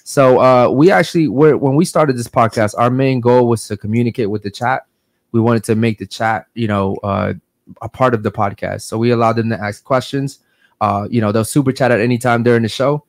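A man's voice: mid-range voice, fairly even intonation, a little fast, no room sound. A man hosting a podcast in a brash, profane, casual way, tacking "man" onto his sentences. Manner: brash, profane, drops "man" and "guys" often. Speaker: podcast host.

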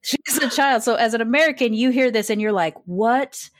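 A woman's voice: a little high, moderate pace, dry recording, clean studio sound. A woman guest on a podcast, speaking frankly in casual chat, telling stories bluntly with the odd swear word.

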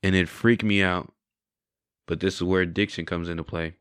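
Deep-voiced young man telling his story. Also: fairly even intonation, normal speed, fairly bright tone, clear, resonant tone, no echo, clean studio sound. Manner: candid, reflective, often adds "right?" and "you know". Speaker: guest sharing his testimony. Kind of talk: casual conversational storytelling.

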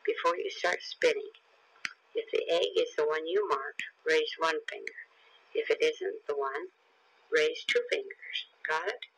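A man's voice: fairly high pitch, a little slow, vocal fry, a bit bright, a little hiss or hum, rough recording. A man reading the part of a confident, clever boy detective in a children's audiobook, assured and instructing.

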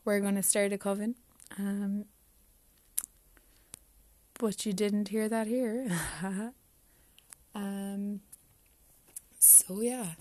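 A woman's voice: medium pitch, flat delivery, very slow, pauses often, mellow. A young Irish woman hosting her own podcast, chatting casually, rambling, swearing freely and talking to herself. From Ireland. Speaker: podcast host. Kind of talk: casual solo podcast chat.

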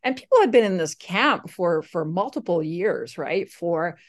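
A woman's voice: lowish voice, expressive, unhurried. A woman from Slovakia, Slovak her first language, telling her story casually in an interview with many fillers and restarts. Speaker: guest.

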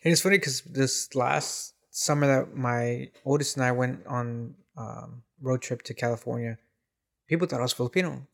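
A middle-aged man from Mexico talks casually on a podcast in a gravelly voice with a lot of fry. He thinks aloud and repeats words as he goes. His pitch sits in the middle range. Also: moderate pace, fairly bright tone. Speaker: podcast co-host. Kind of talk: casual chat.

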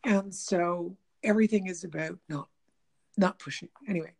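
A woman's voice: lowish voice, normal speed, vocal fry, a little mellow. A woman with a slightly nasal voice in casual conversation, rambling with many restarts. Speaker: guest talking about diet and the body.

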